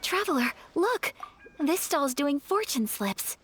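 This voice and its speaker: An actress playing a shy, polite young noblewoman in a video game, formal and gently hesitant. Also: high voice, big pitch swings, slow, noisy background, heavily acted. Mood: polite, curious.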